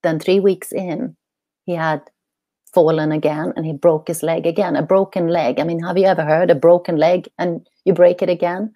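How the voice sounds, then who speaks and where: A woman's voice: on the low side, steady tone, moderate pace, warm tone, no echo. Earnest middle-aged woman from Sweden. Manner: earnest, searching for words, many "you know"s. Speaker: guest, coach. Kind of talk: telling her life story in an interview.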